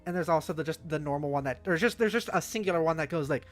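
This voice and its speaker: Low-pitched woman streamer chatting over music. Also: fast. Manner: sweary, playful, reacting aloud. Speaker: livestream host. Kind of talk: casual livestream chat. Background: faint music.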